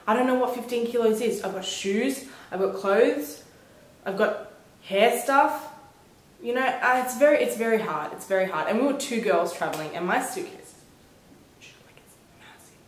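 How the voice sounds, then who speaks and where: A young woman chatting casually as a travel vlogger, telling stories in an animated way and acting out bits of dialogue. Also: a little high, moderate pace. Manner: animated storyteller, acts out reported dialogue. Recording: roomy echo.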